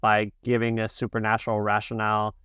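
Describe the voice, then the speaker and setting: A man co-hosting an interview, reading out a prepared question in a measured way. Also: fairly low pitch, completely flat delivery, fairly bright tone, clean tone, dry recording. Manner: measured, scripted delivery.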